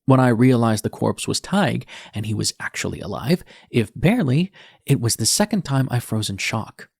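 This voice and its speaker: A man's voice: mid-range voice, lively intonation, normal speed, creaky, darkish tone, breathy edge, no room sound, clean studio sound. Sardonic young male nurse, closely recorded actor reading. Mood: wry, bitter. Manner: sardonic, edgy, profane. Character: defiant, bitter young male ER nurse telling his story. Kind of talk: first-person audiobook narration.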